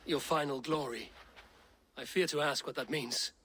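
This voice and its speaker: An actor playing a steady Viking clan leader in a game cutscene, speaking in a measured, grave way. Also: male voice, mid-range voice, slight background noise, close to an everyday voice.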